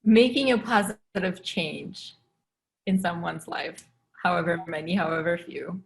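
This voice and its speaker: A woman's voice: on the low side, a little slow, vocal fry, rough recording. A woman from Ethiopia, a guest in a casual interview, giving short, thoughtful answers with a light laugh. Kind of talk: casual conversation.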